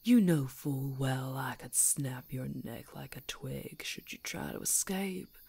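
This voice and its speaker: A woman voices a female werewolf on the hunt, very low and husky. The delivery is extremely slow and breathy, mostly whispered in ASMR roleplay style. The beast sounds menacing and gloating, savoring control and teasing as it threatens. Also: a little mellow, heavily acted. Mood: toying, threatening.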